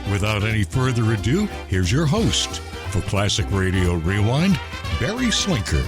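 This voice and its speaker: A man's voice: fairly low pitch, very animated, moderate pace. A man announcing a radio show in a dramatic, scripted promo style. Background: clear music.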